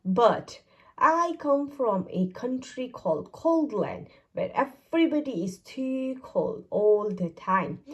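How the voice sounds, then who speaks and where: A woman's voice: on the high side, very animated, takes it slowly. A woman voicing a small man who sneezes all the time, earnest and bewildered, in a storybook read-aloud. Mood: puzzled. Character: sneezy little man from a freezing land.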